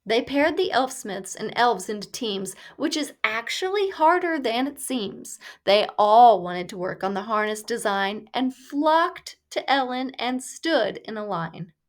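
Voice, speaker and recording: Female storybook reader, higher-pitched, unhurried and deliberate, reading rhyming verse for children. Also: lively intonation.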